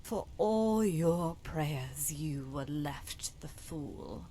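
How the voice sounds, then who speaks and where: Woman voicing a solemn, slow-speaking ghostly sister. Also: low-pitched, animated, dark tone, exaggerated voice. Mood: cold, accusing. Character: long-dead sister's spirit, solemn, knowing, finally forgiving. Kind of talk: dramatic video game mod voice acting.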